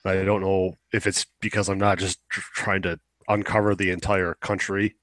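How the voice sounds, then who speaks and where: A man's voice: low voice, level tone, moderate pace, fairly bright tone, no room sound. A young man chatting casually as a podcast co-host, opinionated and rambling, swearing now and then. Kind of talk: casual chat about video games.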